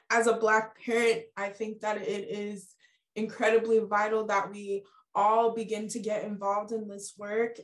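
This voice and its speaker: A woman speaking as a parent on a panel, slow and deliberate. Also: medium pitch. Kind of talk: casual panel talk. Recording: roomy echo.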